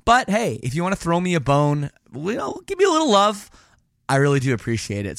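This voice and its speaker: A slightly hoarse man hosts his podcast in a casual, rambling way, tossing in self-deprecating jokes. His pitch swings widely as he talks. The sound is close and dry, with no room around him. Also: no echo. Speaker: podcast host. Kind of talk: casual chatty podcast intro.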